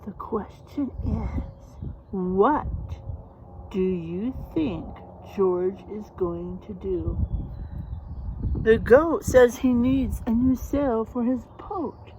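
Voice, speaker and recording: A woman's voice: mid-range voice, drawn-out words, mellow, lo-fi sound, slight background noise. A woman reading a storybook aloud for children as host, explaining simply and addressing young listeners directly.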